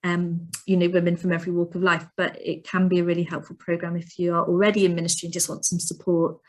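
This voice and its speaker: A woman's voice: lowish voice, flat delivery, quick pace. A woman hosting a webinar on a video call, encouraging her guests and speaking with many fillers. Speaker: webinar host.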